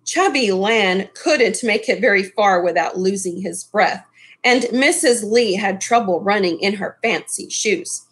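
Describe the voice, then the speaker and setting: A middle-aged woman reading a picture book aloud expressively, in an unhurried way, with casual asides to young listeners. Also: mid-range voice, fairly bright tone. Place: small furnished room at home, indoors. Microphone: webcam at desk distance.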